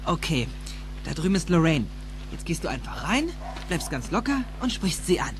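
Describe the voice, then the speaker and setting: A man's voice: a little high, very animated, moderate pace. An actor playing an anxious, impatient teenage boy in a dubbed film drama, urging and sometimes stammering. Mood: coaching, exasperated. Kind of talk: dubbed film acting in a German audio drama. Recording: noisy with hum.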